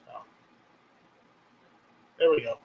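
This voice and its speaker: A man hosting a livestream, chatting casually and haltingly, often repeating words as he rambles along. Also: narrow, tinny audio. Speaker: livestream host. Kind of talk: casual unscripted chat about gear.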